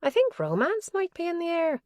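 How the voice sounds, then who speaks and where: A woman voicing a brisk, wry young female detective in an audiobook, lifting her voice and sounding lively. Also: very high-pitched, no room sound, clean studio sound, pitched above the performer's own voice, full character voice.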